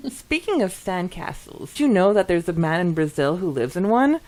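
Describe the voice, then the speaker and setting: A woman co-hosting a podcast in a casual, giggly, expressive way as she reads and reacts to listener comments. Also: lowish voice, moderate pace. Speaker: podcast co-host.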